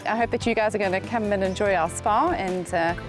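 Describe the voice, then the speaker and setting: A woman hosting guests at a spa, greeting them warmly and describing the place. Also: medium pitch, brisk. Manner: warm, welcoming. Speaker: spa hostess. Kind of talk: casual welcome. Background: background music.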